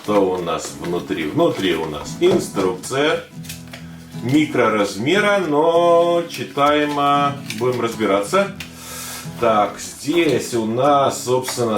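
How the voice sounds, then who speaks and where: A man hosting a casual tech unboxing, talking in a chatty, hesitant way with many fillers and a slightly hoarse throat. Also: mid-range voice, very animated, takes it slowly, a bit bright, soft background music. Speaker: tech video host.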